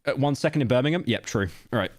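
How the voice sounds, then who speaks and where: A man in his late twenties streaming a reaction video, talking casually and rambling, with self-deprecating asides and sudden loud outbursts. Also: medium pitch, lively intonation, rapid-fire. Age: late 20s.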